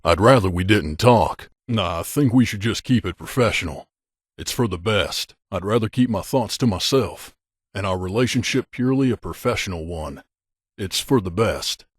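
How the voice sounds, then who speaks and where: A low, closely recorded male voice , acted by a man. He is an earnest wasteland drifter from a video game, guarded but loyal. His delivery is conversational and sincere, with very little room sound. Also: animated, moderate pace, breathy edge, no echo, studio quality. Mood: hurt, guarded. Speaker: voice actor. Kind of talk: video game companion dialogue.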